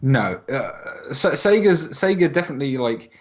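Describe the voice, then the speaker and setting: A man's voice: medium pitch, big pitch swings, normal speed. Sweary man on a casual podcast. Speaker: podcast co-host. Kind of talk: casual group podcast chat. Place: at home, online voice call.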